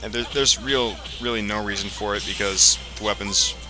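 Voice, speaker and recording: Man, low voice, let's play host, casual explanatory commentary, halting delivery, prominent game music behind. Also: fairly even intonation. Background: video game music.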